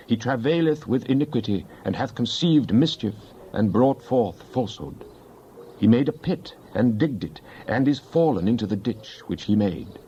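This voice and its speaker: A man's voice: mid-range voice, warm tone, lo-fi sound, slight background noise, lightly acted. A man playing a fervent psalmist in a dramatized Bible reading, solemn and declamatory in archaic scripture language. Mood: stern, solemn. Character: fervent psalmist pleading with and praising God.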